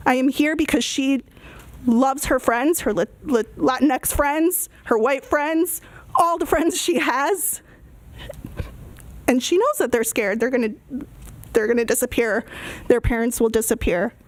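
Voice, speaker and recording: A woman's voice: high voice, unhurried, no room sound. A woman speaking earnestly and emphatically at a public meeting, in short repeated phrases. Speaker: resident giving public comment.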